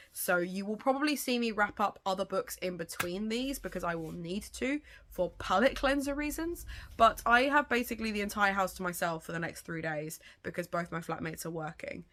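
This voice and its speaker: Chatty woman with a gravelly cold. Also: on the low side, fairly bright tone. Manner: chatty, rambling, swears casually. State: has a cold, sounds gravelly. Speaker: booktuber. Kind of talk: casual reading vlog chat.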